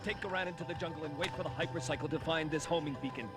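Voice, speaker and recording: A man's voice: on the high side, racing, lightly acted. A man playing a young, protective cartoon hero with a commanding, dramatic way of talking. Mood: urgent, commanding. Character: young heroic jungle vigilante, protective and determined. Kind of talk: animated action series dialogue. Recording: loud music under dialogue.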